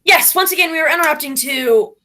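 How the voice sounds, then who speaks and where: A woman's voice: sits high, brisk, over loud music, natural voice. A woman playing a theatrical, grandly polite announcer in the manner of old-time radio broadcasts. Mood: grandly presenting.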